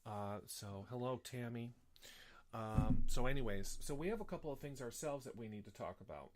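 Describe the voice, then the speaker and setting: A man's voice: mid-range voice, lively intonation, normal speed, darkish tone. A man hosting a casual live show, rambling with many fillers and restarts, sniffly with a slight cough. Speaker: host.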